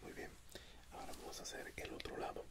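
A man in his thirties whispers softly and breathily in an ASMR medical exam roleplay, gently giving reassuring, step-by-step instructions as a doctor. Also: fast talker. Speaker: ASMR performer playing a doctor. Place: indoors, dark backdrop. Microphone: close microphone.

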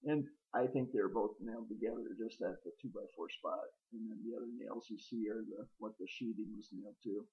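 A man's voice: on the low side, fairly quick, hardly any pauses, lo-fi sound. A middle-aged man narrating a casual walkthrough of his old barn, hesitant and rambling with many ums and uhs, recorded on a handheld camera outdoors and inside the barn. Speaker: homesteader filming his barn.

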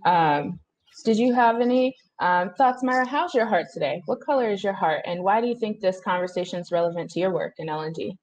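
A woman's voice: mid-range voice, animated, fairly quick, darkish tone, a little hiss or hum. A woman moderating an online panel in a conversational way, asking questions from a room at home. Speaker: discussion moderator. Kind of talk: conversational hosting. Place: room at home, on a video call.

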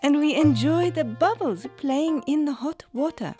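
A gentle, patient cartoon mother explains things with soft formality. Her slightly high voice moves slowly with lively rises and falls, over faint music. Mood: calm, instructive. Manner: measured, explanatory, softly formal. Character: gentle, patient mother hosting a tea ceremony. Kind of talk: children's cartoon voice acting.

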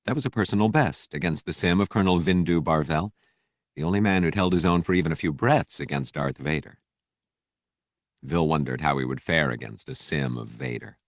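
A man's voice: low-pitched, no echo, clean studio sound. A man narrating a novel as a scripted, dramatic audiobook reading. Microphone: close studio microphone.

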